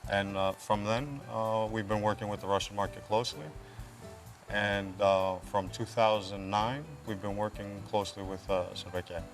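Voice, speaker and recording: Casting: male guest with an American accent, low voice, easygoing and halting, faint music under. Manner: relaxed, hesitant with frequent uh fillers. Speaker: company director, studio guest. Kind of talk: interview answers.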